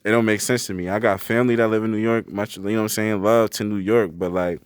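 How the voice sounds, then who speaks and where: A young rapper from Connecticut talking quickly and earnestly as a podcast guest, often adding "you know what I'm saying". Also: male voice, fairly low pitch, steady tone, smooth, no room sound, clean studio sound. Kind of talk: casual interview talk.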